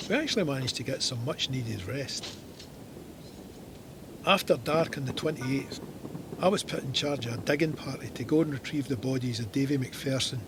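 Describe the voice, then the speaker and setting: An actor playing a stoic Scottish First World War soldier, narrating his memoir in a measured, matter-of-fact way. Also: mid-range voice, noisy background, close to an everyday voice. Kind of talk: scripted memoir narration in an audio drama.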